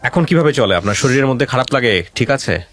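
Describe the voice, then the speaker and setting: A man's voice: mid-range voice, animated, fast, no room sound. A man hosting a talk show, interviewing a guest and earnestly offering advice. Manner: earnest, gently advising. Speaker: radio show host. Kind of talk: interviewing a guest and reflecting on his story.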